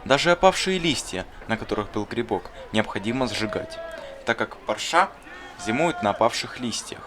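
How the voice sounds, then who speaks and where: A man voicing a thoughtful, kindly schoolteacher in an animated film, explaining things in an earnest, didactic, bookish way. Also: medium pitch, moderate pace, close to an everyday voice. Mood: earnest, instructive. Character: thoughtful, kindly male schoolteacher who talks like a gardener. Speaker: teacher answering interview questions. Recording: voice over clear background music. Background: music.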